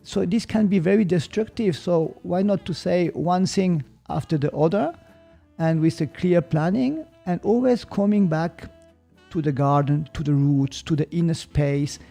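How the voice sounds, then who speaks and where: Swiss German man, gravelly and mellow. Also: a little high, soft background music. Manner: slow, thoughtful, gets stuck on English words. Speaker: podcast guest, martial arts teacher. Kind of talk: reflective explanatory talk.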